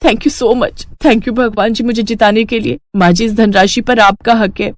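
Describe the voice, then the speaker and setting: A woman's voice: fairly high pitch, steady tone, measured pace, dry recording, clean studio sound, natural voice. A woman voicing a determined, good-natured young daughter-in-law in a cartoon story, polite and earnest. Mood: grateful. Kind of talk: Hindi cartoon story acting.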